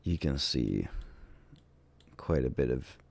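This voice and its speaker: A sick man with a cold thinks aloud in a casual, hesitant, musing way, with many ums and trailing pauses, as he narrates a vlog. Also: a bass voice, animated, gravelly, darkish tone, no room sound. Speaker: vlogger touring tornado damage on a map.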